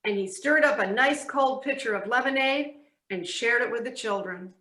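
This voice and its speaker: Woman, storybook narrator, bright voice, readers theatre, echoey room. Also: on the high side, moderate pace.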